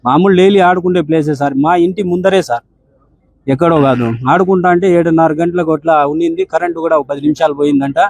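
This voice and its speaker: Fast-talking, higher-pitched Rayalaseema Telugu man. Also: a little mellow, no echo. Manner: deferential, ends sentences with "sir". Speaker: bereaved father on a phone call. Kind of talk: respectful account and appeal in a phone conversation.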